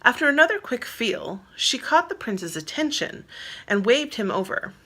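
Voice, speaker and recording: Bright-voiced woman narrating a story. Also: a little high, big pitch swings, moderate pace. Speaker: author reading own novel as narrator.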